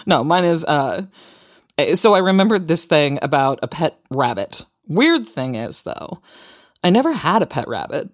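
A woman's voice: sits low, big pitch swings, normal speed. An actress playing a wry, curious young woman in a naturalistic audio drama, talking in a hesitant, sardonic, conversational way. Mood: puzzled, reflective.

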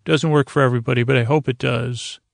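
A man with a creaky voice hosting a sleep podcast, talking in a meandering, halting, gently goofy and lighthearted way. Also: medium pitch, steady tone, no echo, studio quality. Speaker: podcast host. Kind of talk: rambling, informal sleep podcast monologue.

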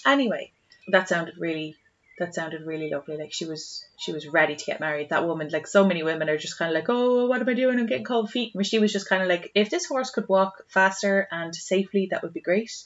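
A woman's voice: fairly low pitch, fast. An Irish woman co-hosting a podcast, chatting casually in an emotive, rambling way. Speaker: podcast co-host. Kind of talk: casual chat. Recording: slightly dull, lo-fi sound.

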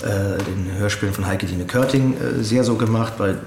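A man giving reflective interview answers as a voice actor, halting and hesitant as he searches for words. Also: on the low side, a little mellow. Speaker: interview guest, audio drama voice actor. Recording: roomy echo with faint background noise.

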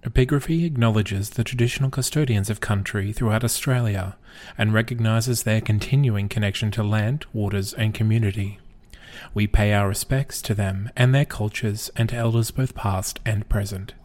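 Slightly low-voiced male podcast announcer. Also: moderate pace, darkish tone. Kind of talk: scripted formal announcement. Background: music.

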